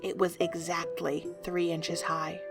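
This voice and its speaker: A woman reading scripted storybook narration for an audio drama, as an expressive storyteller. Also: on the low side, slow pace, creaky. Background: music.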